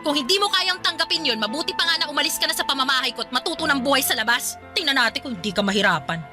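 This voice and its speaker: A woman's voice: sits high, animated, racing, over music. A woman playing a grumpy, sarcastic older sister in her late twenties, scolding in a radio drama. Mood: stern, reproachful. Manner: sarcastic, nagging. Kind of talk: radio drama dialogue in Tagalog love story.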